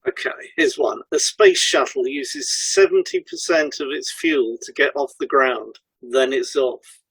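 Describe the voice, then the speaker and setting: A man speaks as a podcast co-host, explaining things from a script. His voice is husky and mid-pitched, and the recording is dry and close. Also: wildly swooping pitch, unhurried, a bit bright, no room sound, poor audio.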